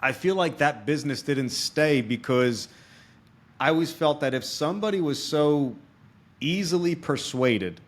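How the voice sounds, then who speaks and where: A man's voice: on the high side, lively intonation, a little slow. A man hosting a podcast interview, explaining things conversationally and often checking in with his listener. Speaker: podcast host and experienced salesman.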